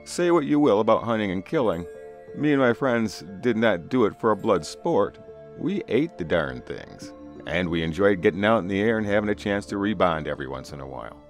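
A man's voice: fairly low pitch, big pitch swings, fairly quick. A middle-aged man narrating a first-person spooky story in a conversational, folksy way. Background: music.

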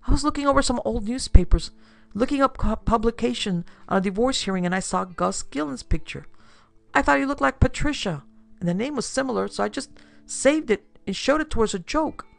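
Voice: medium pitch, lively intonation, moderate pace, vocal fry, a little mellow, dry recording, pitched above the performer's own voice. A narrator voicing a defensive, fumbling young man, whining and apologetic, in an audiobook story. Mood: defensive, flustered.

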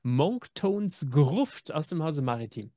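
A man's voice: a little high, big pitch swings, slow pace, mellow, no echo, studio quality. A middle-aged man co-hosting an audio drama news show, chatting casually in a jokey, ironic way. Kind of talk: casual chat. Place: room at home.

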